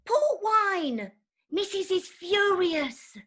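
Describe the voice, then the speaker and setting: A woman playing a jealous, sharp-tongued young parlour maid in a staged reading of a Victorian farce. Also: very high-pitched, sing-song, very slow, exaggerated voice. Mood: scolding.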